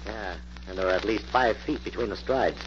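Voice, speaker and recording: A male actor plays an authoritative, skeptical investigator of vintage radio mystery. He talks fast and clipped in a fairly low voice. The old recording is heavy with noise. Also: lightly acted. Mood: brisk, decisive. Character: brisk, skeptical, take-charge male investigator hero. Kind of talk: 1940s radio acting. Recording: muffled, very noisy old-time radio recording.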